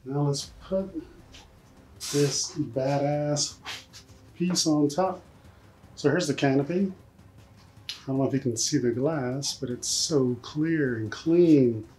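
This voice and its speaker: A man's voice: fairly high pitch, takes it slowly, long pauses, a little mellow, slight background noise. A man in his forties giving an enthusiastic, casual unboxing commentary in a collection room, exclaiming over details with mild swearing. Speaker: collectibles vlogger. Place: indoor collection room with display shelves.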